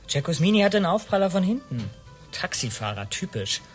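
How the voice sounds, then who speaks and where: A man's voice: high voice, very animated, brisk, faint music behind. An actor playing a flippant young punk who shrugs off his injuries in a German radio play. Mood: offhand.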